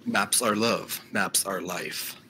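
A man voicing a smug, mocking, disembodied announcer in a comedic game fandub, deadpan. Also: sits low, slow pace, very noisy.

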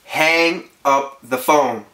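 A man's voice: mid-range voice, very slow, sharp tone. A young man playing an irritated, insistent caller in an amateur comedy sketch. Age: young adult. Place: living room. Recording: echoey living room.

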